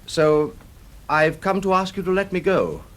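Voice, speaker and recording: An actor playing a polite, eager production manager with a British accent and a husky voice, in a radio drama. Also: male voice, a little high, big pitch swings, measured pace, fairly bright tone. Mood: pleading. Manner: hesitant, well-mannered.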